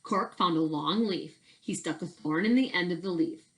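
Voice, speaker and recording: A woman reading a children's storybook aloud as a teacher, with deliberate, emphatic phrasing and expressive narration. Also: on the low side.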